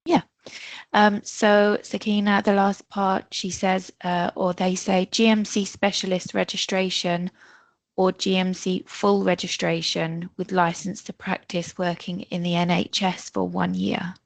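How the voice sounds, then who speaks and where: A woman's voice: fairly low pitch, level tone, unhurried, gravelly, no room sound. A woman hosting a live online question session, politely reading out questions and guiding participants with some hesitation. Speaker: moderator of online Q&A.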